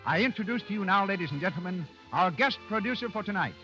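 A man's voice: high-pitched, lively intonation, fast, pushed and bright. 1930s radio announcer man over music. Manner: formal, grandly presentational. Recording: dull 1930s radio broadcast recording.

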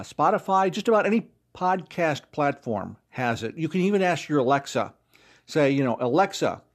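A man speaking as an interview guest, explaining things in a conversational way. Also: mid-range voice. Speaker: interview guest, audio drama producer.

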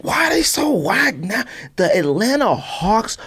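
A man's voice: high-pitched, big pitch swings, a little slow, sharp tone, husky. A man with an American accent chatting casually about sports as a podcast co-host, in a slangy, exclamatory way. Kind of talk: casual sports and sneaker chat.

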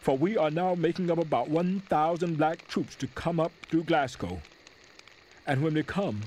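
Male, acting a proud soldier father in a historical stage reading; emphatic, righteous oratory, fairly high voice, some background noise. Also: a little mellow, close to an everyday voice. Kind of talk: dramatic reading of a historical letter.

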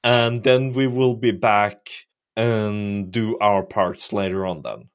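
Swedish man, swedish accent, podcast host, easygoing, measured unhurried pace. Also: on the low side, clean tone. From Sweden. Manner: easygoing, agreeable, many yeahs. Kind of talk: casual chat.

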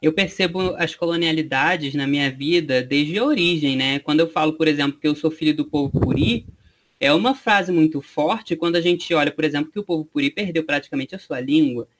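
Young Brazilian man, twenties, slightly high pitch, earnest, steady, repetitive phrasing. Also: fairly quick. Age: 20s. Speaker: interviewee. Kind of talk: earnest interview testimony.

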